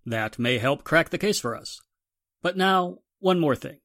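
A man playing a businesslike police inspector in 1940s radio drama style, brisk and matter-of-fact. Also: on the high side, expressive, fairly bright tone, no room sound, clean studio sound. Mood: official.

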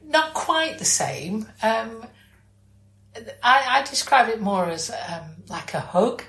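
A woman's voice: on the high side, sing-song, slow pace, fairly bright tone. A woman talking in a casual, chatty and informal way, often searching for words. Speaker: podcast host. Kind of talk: casual chat. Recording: echoey room.

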